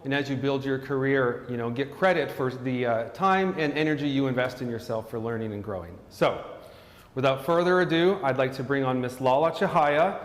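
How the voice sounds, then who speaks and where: A man's voice: medium pitch, brisk. Upbeat man hosting in an echoey studio. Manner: upbeat, promotional. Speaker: host. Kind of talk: live-stream introduction. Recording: echoey studio with long reverb.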